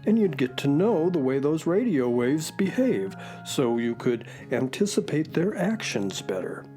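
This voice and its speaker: Gentle low-voiced space horse. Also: female voice, sing-song, unhurried. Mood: gentle, hesitant. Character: kindly space horse companion, storyteller to children. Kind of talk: children's radio drama storytelling. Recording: music behind the voice.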